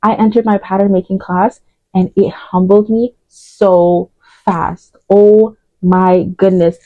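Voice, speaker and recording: A woman's voice: mid-range voice, fairly even intonation, slow pace, dry recording, narrow, tinny audio. A woman, chatting casually in a vlog about her path into fashion design, with lots of fillers and self-corrections. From Uganda. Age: 20s. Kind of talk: casual vlog storytelling while doing makeup.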